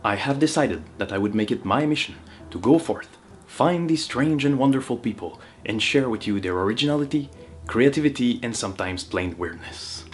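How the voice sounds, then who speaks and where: A man's voice: medium pitch, lively intonation, moderate pace, soft background music, voice pushed up, natural voice. A man with a French Canadian accent, French his first language, playing a grand, formal steampunk baron from another reality in a scripted monologue. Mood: grandiose.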